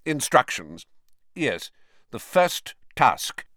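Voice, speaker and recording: A man's voice: medium pitch, very animated, extremely slow, a bit bright, no echo, studio quality, pitched above the performer's own voice, exaggerated voice. Man playing a stern, formal older official.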